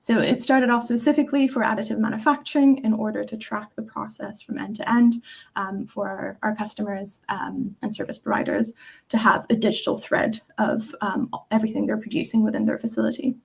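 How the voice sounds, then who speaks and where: Husky-voiced young woman on a call. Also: medium pitch, fairly quick. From Singapore. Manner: friendly, relaxed, frequent ums. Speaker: guest, customer success manager. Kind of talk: interview answers. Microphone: video call.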